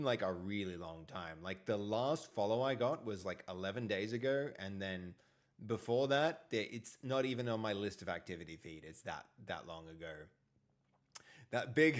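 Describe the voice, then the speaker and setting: A man hosting a casual live stream, talking with a big, loud voice and rambling, repeating himself. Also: lowish voice.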